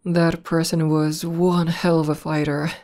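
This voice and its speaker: A bashful tomboy demoness warrior, played by a woman, speaks to her partner in an intimate ASMR roleplay. Her voice is notably low and her delivery slow. She sounds soft, hesitant and deeply affectionate. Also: level tone. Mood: calm, reminiscing. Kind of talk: ASMR roleplay, intimate one-sided conversation.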